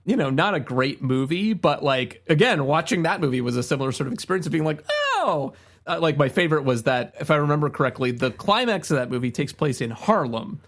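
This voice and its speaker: A man's voice: fairly high pitch, very animated, a little fast, a bit bright. A middle-aged man, chatting casually about film as a podcast co-host in an agreeable, conversational way. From Ontario, Canada.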